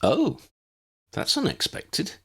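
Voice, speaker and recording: Man as a cool, gravelly wizard professor. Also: a little high, huge pitch swings, slow, fairly bright tone, pitched above the performer's own voice, full character voice. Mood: curious.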